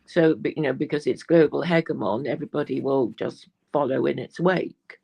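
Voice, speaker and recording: Woman, guest, husky, gravelly, hesitant, repeats words. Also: a bass voice, normal speed, warm tone. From England. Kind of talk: live online discussion.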